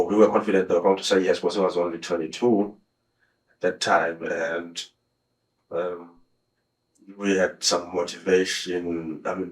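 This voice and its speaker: A South African man in his thirties, in a police interview room, recounting his story haltingly with many restarts. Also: sits low, rough recording. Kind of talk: recounting events under questioning.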